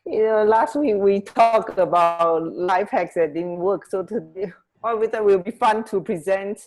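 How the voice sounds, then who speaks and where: A woman co-hosting a radio show in casual chat, halting and correcting herself as she goes. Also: medium pitch, darkish tone. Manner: hesitant, halting, self-correcting. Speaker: radio co-host.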